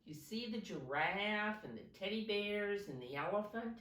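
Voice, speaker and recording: Slow, animated woman reading to children. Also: medium pitch. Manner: simple, deliberate phrasing addressed to young children, with asides to show pictures. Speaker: teacher reading a picture book to children. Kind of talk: animated children's storybook read-aloud. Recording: roomy echo, distant microphone.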